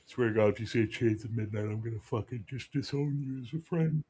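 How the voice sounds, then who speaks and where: A man with a speaking baritone hosting a casual panel chat, talking loosely and swearing freely. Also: fairly quick, a crowd in the background. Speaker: host.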